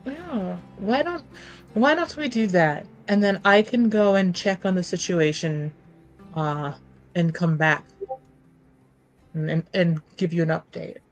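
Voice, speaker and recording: A woman playing a tabletop game, improvising in-character conversation with hesitant, gentle suggestions. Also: lowish voice, measured pace, highs cut off. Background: soft background music.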